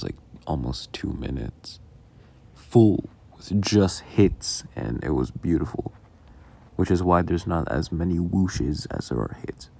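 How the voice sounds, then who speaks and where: A man's voice: low voice, vocal fry, dark tone. A man giving casual, rambling behind-the-scenes commentary in a self-deprecating, casually sweary way. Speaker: audio roleplay creator.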